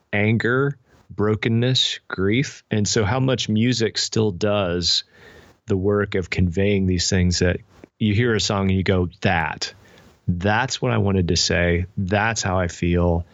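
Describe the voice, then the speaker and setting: A man's voice: on the low side, slow pace, dry recording, muffled recording. A middle-aged man speaking reflectively as an interview guest, explaining things thoughtfully with everyday examples. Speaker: podcast guest, spiritual director and author.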